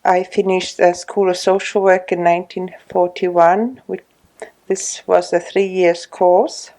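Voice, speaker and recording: A woman in her sixties, from Estonia, speaking English with an Estonian accent in an oral history interview at her home, slow and hesitant with many pauses. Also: on the low side, fairly even intonation, mellow, rough recording. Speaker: retired social worker interviewee.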